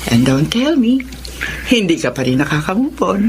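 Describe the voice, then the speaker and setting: A woman's voice: fairly low pitch, very animated, normal speed, background noise. A woman acting a frank, probing middle-aged mother in a Filipino radio drama, with a Filipino accent. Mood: firm, chiding.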